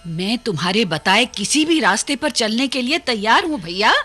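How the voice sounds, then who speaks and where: An actress playing a conniving, flattering woman in a radio drama, with a honeyed, coaxing manner. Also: fairly high pitch, normal speed, soft background music, full character voice. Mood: eager conniving. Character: conniving, flattering wife and eager sister. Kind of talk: Hindi radio drama acting.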